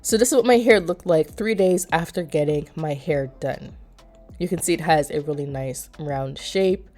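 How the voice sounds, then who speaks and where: A woman's voice: deep voice, moderate pace, darkish tone, soft background music. A young woman narrating a hair vlog in a chatty, explaining way, a bit nasal from a cold. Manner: chatty, explanatory, addresses viewers as you guys. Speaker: beauty vlogger. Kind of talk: casual vlog voice-over.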